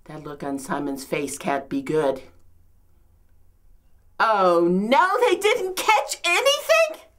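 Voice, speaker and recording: A woman's voice: deep voice, flat delivery, moderate pace, hardly any pauses, a little mellow, pitched below the performer's own voice, natural voice. A woman playing a complaining, martyred old mother-in-law from biblical times in a comedic monologue, with a New York accent and theatrical delivery. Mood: excited kvetching. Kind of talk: comedic dramatic monologue, performed.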